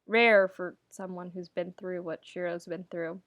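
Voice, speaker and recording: A woman's voice: fairly low pitch, fairly even intonation, unhurried, a bit bright, no echo, studio quality. A woman narrating a story expressively, with wry, dramatic emphasis. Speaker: podfic narrator reading fan fiction.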